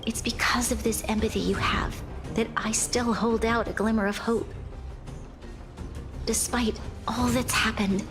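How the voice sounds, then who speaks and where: Woman playing a composed, higher-pitched young female leader. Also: steady tone, lightly acted. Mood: earnest, diplomatic. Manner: formal, measured, diplomatic. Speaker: game character, guardian leader. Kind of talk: scripted video game voice acting. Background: game music.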